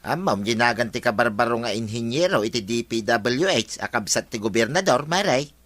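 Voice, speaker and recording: A man's voice: medium pitch, animated, fairly quick, fairly bright tone, natural voice. A man acting as a cautioning village companion in an Ilocano drama. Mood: explaining. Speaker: actor in drama.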